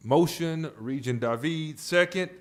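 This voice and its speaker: A man presiding as chair over a public board meeting, speaking in a halting way with repeated words and restarts. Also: on the high side. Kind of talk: formal but informal-toned meeting remarks.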